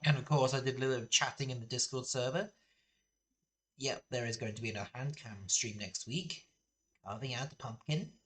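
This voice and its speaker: A man's voice: mid-range voice, moderate pace, a bit bright. A young man casually chatting on a live game stream, rambling and self-deprecating, laughing now and then.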